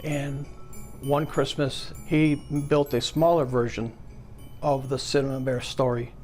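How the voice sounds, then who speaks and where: A man's voice: medium pitch, flat delivery, measured pace, a little mellow, soft background music. A man in his fifties casually reminiscing as an interviewee. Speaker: interviewee, collector's son.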